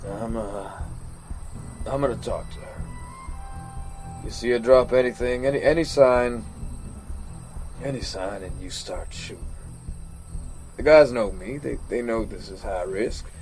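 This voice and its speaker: Haunted American soldier, played by a male actor for an audio drama monologue: mid-pitched, slow, stammering, faint music behind. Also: darkish tone, natural voice. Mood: agitated, emphatic. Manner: halting, stammering, repeats words. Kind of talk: dramatic first-person monologue.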